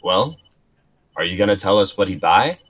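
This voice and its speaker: A skeptical, reluctant male sidekick in an amateur drama, acted by a man. The voice is fairly deep with a very animated melody. He talks dryly and colloquially, as if always objecting. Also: moderate pace. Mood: wary. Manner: dry, protesting, colloquial. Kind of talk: amateur dramatic script reading.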